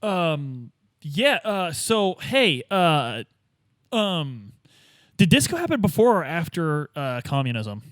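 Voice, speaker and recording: A man's voice: a little high, big pitch swings, slow pace, a bit bright, no room sound, studio quality. A man playing a tabletop game, casually bantering and asking questions in a flippant, hesitant way. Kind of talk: casual improvised roleplay banter.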